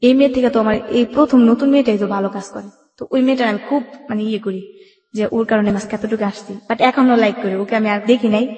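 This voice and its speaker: A woman's voice: on the high side, steady tone, fast, darkish tone. A Bangladeshi woman telling a personal story in an interview, colloquial, often voicing remembered dialogue. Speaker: guest telling their life story. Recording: echoey room with long reverb.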